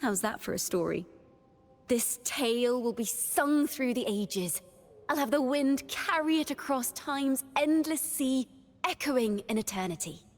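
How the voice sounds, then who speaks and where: A woman voicing a young, horned wandering bard in a video game, a cheerful yet wistful poet who speaks in lyrical, expressive storytelling with a reverberant tail. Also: high-pitched, unhurried. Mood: playful, warm. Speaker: game character voice. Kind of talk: scripted character monologue.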